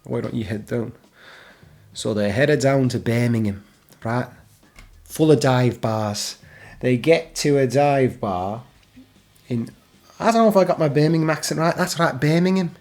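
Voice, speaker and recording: A man's voice: medium pitch, unhurried, pauses often, a little mellow. Chatty man telling a band story. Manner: chatty, keeps adding "right?". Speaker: music history channel host. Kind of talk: casual storytelling narration.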